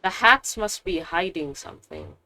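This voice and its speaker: A woman's voice: medium pitch, animated, normal speed, sharp tone, dry recording. A woman giving casual, chatty, slangy commentary as she plays a video game and reacts aloud. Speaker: game streamer.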